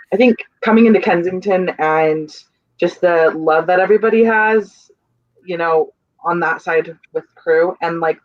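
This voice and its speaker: A young adult stage manager speaking over a dull, lo-fi video call line in a dry, close sound. The voice sits at a middle pitch and the talk is earnest and meandering, full of restarts as the thoughts come. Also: no echo. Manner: rambling, searching for words, earnest. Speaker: stage manager on a theater crew. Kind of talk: casual panel chat on a video call.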